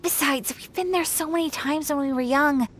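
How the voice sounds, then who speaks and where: A woman's voice: high voice, moderate pace, a bit bright, slight background noise. A woman voicing a breezy, opinionated young townswoman in a fantasy video game. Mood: breezy, dreamy.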